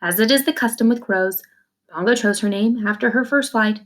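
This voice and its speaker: A woman's voice: on the high side, a little fast. A woman reading a children's novel aloud like a teacher, expressively, in the first person, with simple explanations for young listeners. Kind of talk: read-aloud storytelling with brief explanations.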